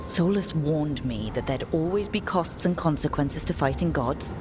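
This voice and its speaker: A decisive hero giving orders. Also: female voice, deep voice, animated, normal speed. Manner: commanding and direct. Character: decisive female hero leading a team. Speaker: team leader. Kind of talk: video game cutscene voice acting. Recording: game audio with music.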